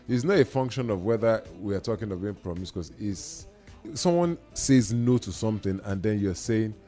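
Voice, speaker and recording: Low-voiced Nigerian man, measured and emphatic. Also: darkish tone. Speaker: drama producer and activist. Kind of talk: radio discussion.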